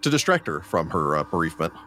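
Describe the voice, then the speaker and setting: A man narrating a tabletop role-playing game as game master, in a measured, descriptive storytelling manner. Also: deep voice, big pitch swings, a bit bright, a little hiss or hum.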